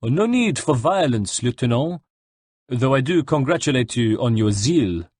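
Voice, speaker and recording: A man's voice: medium pitch, lively intonation, moderate pace, darkish tone, dry recording, clean studio sound, voice pushed up, lightly acted. A man voicing a haughty, condescending French general in an audiobook, with pompous mock politeness. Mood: mock-polite. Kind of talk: dramatised audiobook narration.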